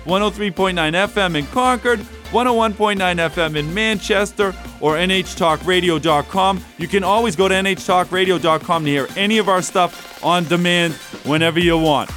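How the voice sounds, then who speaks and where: A man's voice: high voice, normal speed, few pauses, a bit bright, soft background music. A man hosting a local radio talk show, chatty and upbeat, rambling a little as he talks.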